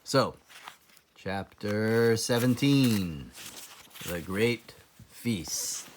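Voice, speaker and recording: A man reading a children's book aloud as a teacher librarian, explaining things deliberately for young listeners. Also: fairly low pitch, expressive, a little hiss or hum. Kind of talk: children's storybook read-aloud.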